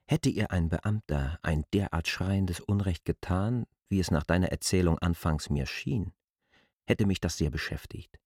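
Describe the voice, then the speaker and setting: An actor playing a proud, defensive land surveyor in a German literary radio drama, arguing deliberately in formal phrasing. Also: male voice, sits low, a little mellow, no room sound, clean studio sound. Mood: cool, reasoning.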